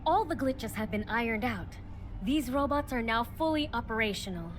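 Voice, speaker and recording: High, polite young woman worker, acted. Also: animated, normal speed, lightly acted. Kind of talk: anime game voice acting. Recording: background music under the voice.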